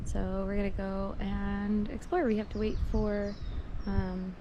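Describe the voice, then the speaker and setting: A woman's voice: mid-range voice, fairly even intonation, measured pace, highs cut off. A woman chatting casually and playfully for a travel vlog, in an informal way. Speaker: travel vlogger. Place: in a moving vehicle and outdoors. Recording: noisy vehicle and outdoor ambience.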